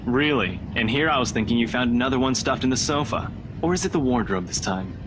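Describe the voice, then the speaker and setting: A man's voice: medium pitch, lively intonation, brisk, noisy background, close to an everyday voice. A man playing a laid-back young investigator in a visual novel, casually and smugly teasing a friend. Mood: prodding.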